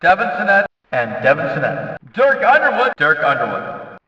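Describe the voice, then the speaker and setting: A hyped-up male race announcer for a racing video game, high-pitched and swinging wildly up and down. He stretches out every call theatrically, as if over a big track, with a long echoing reverb around him. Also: huge pitch swings, sharp tone, heavily acted. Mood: hyped announcing. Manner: drawn-out, theatrical delivery of names. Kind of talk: shouted race-announcer name calls. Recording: echoey, distant, slightly dull PA-like sound.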